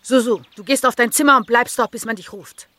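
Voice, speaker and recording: A woman's voice: a little high, fairly quick, sharp tone. An actress playing a stern, proud mother in a radio drama, speaking in a commanding, formal, theatrical manner. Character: stern, imperious Portuguese mother, mature, proud and passionate.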